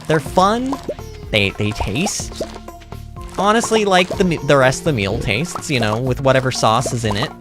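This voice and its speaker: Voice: mid-range voice, lively intonation, normal speed, over music. A streamer casually rambling and answering chat during a game livestream, speaking animatedly and emphatically as a native English speaker. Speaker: livestreamer playing a farming game.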